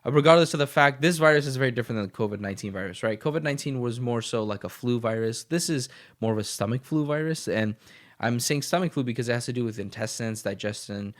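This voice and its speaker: A man's voice: mid-range voice, quick pace. A man hosting his own podcast, talking casually and motivationally in a rambling way, a little sniffly as he gets over the flu. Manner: rambling and motivational, with frequent restarts. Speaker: podcast host. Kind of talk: casual solo podcast monologue.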